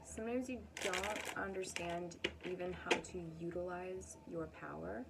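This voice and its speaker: A woman's voice: on the low side, fairly even intonation, unhurried, creaky, heavy background noise. A woman hosting a casual, chatty card reading, speaking effusively and affirmingly with rambling asides. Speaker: astrologer and tarot reader, channel host.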